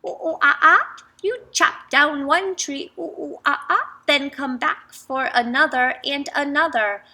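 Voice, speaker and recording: A chattering troop of storybook monkeys, hooting between every warning, as a woman puts them on. The voice sits high and sounds bright and lively. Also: measured pace, full character voice. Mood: urgent. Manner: hooting "ooh ooh ah" calls.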